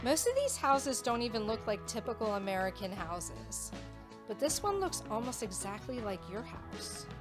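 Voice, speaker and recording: Woman playing lively high-voiced student girl. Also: normal speed, music in the background. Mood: curious, polite. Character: eager, friendly young female student. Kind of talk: audiobook drama dialogue.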